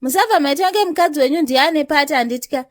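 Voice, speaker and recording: A woman's voice: high voice, quick pace, dry recording, studio quality, close to an everyday voice. A woman playing a pushy, hungry young female party guest in a comedy cartoon, insistent and pleading. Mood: protesting.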